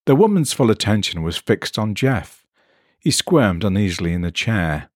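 Low voiced guy reading a story, really expressive, dry studio-like sound. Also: normal speed, no echo. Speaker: narrator. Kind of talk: expressive scripted story narration.

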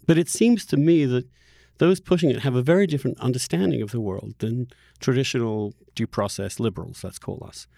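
A man hosting a discussion podcast, talking through political arguments in a thoughtful, halting way and often repeating words mid-sentence. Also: mid-range voice, creaky, warm tone, dry recording, studio quality. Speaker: podcast host interviewing a guest.

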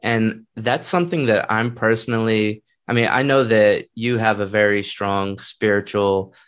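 A man's voice: lowish voice, fairly even intonation, unhurried, a bit bright, clear, resonant tone. An American man interviewing a guest in a casual chat. He rambles enthusiastically and restarts his sentences often. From United States.

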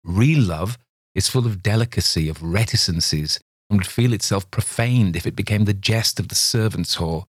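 A sceptical gentleman answers with cool, measured irony, as a man reads him. The voice is low and even, and the recording is dry and close. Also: husky, dry recording. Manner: cool, measured, dryly ironic.

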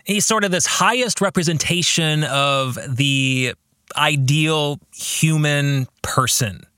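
A man hosting a reflective podcast discussion of a book and faith, speaking in deliberate, halting phrases and posing rhetorical questions to the listener. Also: on the high side, bright, forceful tone, clean tone, no echo, clean studio sound. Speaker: podcast host and author.

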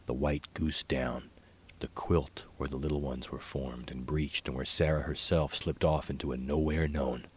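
A man narrating a literary short story in a measured, hushed manner that drops toward whispers. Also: extremely low pitch, flat delivery, husky. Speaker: author reading own short story.

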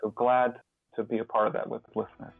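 A man answering as an interview guest in an earnest, conversational way, halting often and restarting as he searches for words. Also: medium pitch, level tone, creaky, phone-quality sound. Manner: halting, hesitant, searching for words with repeated false starts. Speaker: podcast guest, author and caregiving mentor.

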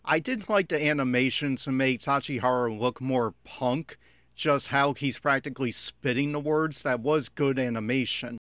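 A man delivers off-the-cuff commentary with a critical, exasperated edge. His voice sits at a middling pitch and is recorded close and dry, with very little room sound. He speaks as an opinionated podcaster reacting to what he watches. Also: normal speed, fairly bright tone, no room sound. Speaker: podcaster giving episode commentary. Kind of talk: unscripted audio commentary on an anime episode.

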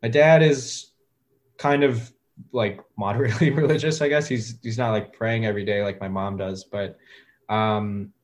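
A man in his thirties, a guest talking casually in an interview, with a relaxed, hesitant way of talking full of fillers and restarts. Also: on the low side, normal speed. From United States. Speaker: guest, music producer.

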